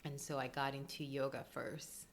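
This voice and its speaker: Low-voiced Colombian woman, open and emotional. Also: flat delivery, moderate pace, heavy background noise. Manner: open and emotional, frequent ums and laughs. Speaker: podcast guest, breathwork coach. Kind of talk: casual interview chat.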